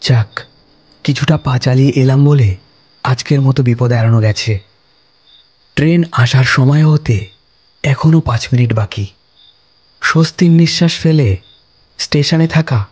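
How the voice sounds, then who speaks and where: A man narrating a ghost story in the first person, in a measured and steady manner. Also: mid-range voice, slightly rough, muffled recording.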